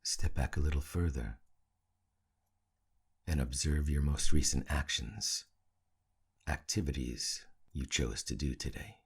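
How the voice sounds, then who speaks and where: A man leading a guided meditation in a hushed, soothing, gravelly and husky voice, leaving long pauses between short phrases. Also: very deep voice. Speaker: meditation teacher.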